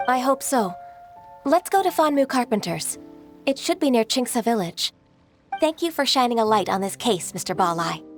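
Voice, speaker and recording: A woman playing a polite, composed young official in a video game, speaking formally and gently. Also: a little high, lively intonation, normal speed, faint music behind. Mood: businesslike.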